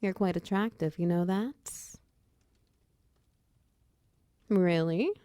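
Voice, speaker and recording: A woman's voice: on the low side, unhurried, no room sound, studio quality, close to an everyday voice. A woman playing a confident, flirtatious female minotaur wizard who runs a potion shop, speaking to the listener in intimate, teasing roleplay ASMR. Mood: flirty, teasing. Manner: teasing, coy, softly breathy in places.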